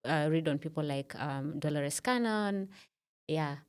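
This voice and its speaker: A woman's voice: low voice, unhurried, a little mellow, clear, resonant tone. A Kenyan woman around thirty, a podcast guest in a studio, telling her story in a reflective way with many fillers. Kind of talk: casual interview talk.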